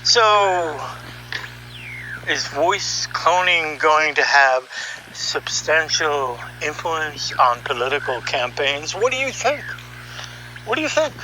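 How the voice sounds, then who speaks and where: A man giving an opinionated, conversational monologue as a podcast host, sardonic and animated, throwing out rhetorical questions. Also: fairly high pitch, slow, a little hiss or hum, rough recording. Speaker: podcast host and audio artist. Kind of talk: opinionated monologue, reading article excerpts aloud.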